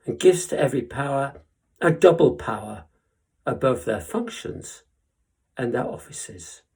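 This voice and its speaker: Give this to a man acting as a witty, impassioned young lord of the classical stage. His voice is very husky and breathy, at a middle pitch. He declaims verse slowly, with deliberate pauses, swelling and hushing for emphasis. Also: heavily acted. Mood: ardent, lyrical. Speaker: Shakespearean actor performing a monologue. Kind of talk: theatrical classical verse monologue.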